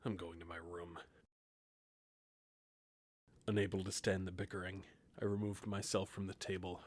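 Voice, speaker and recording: An actor playing a weary, centuries-old grim reaper who speaks and narrates in a reserved, formal way for an audio drama. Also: male voice, deep voice, flat delivery, a little fast, no room sound. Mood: weary, brooding. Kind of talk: audio drama acting and first-person narration.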